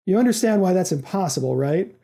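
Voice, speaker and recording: Man voicing skeptical job candidate, sardonic, questioning, story reading, high male voice, very soft timbre. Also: expressive, fairly quick, gravelly, exaggerated voice. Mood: wary.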